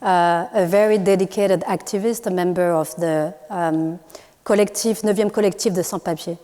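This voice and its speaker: Female artist from Morocco, native Moroccan Arabic speaker, lowish mellow voice, hesitant lecture delivery. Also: fairly even intonation, moderate pace. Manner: thoughtful, hesitant with many fillers and restarts. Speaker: artist in a panel talk. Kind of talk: public talk at a university event. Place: lecture hall. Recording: long hall reverb.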